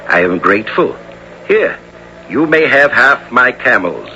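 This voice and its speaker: An actor playing a wise, shrewd holy dervish with formal, measured delivery in a 1970s radio drama. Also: male voice, medium pitch, very animated, heavily acted. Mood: calm, knowing. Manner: measured, formal, gently ironic. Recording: muffled, noisy old radio recording.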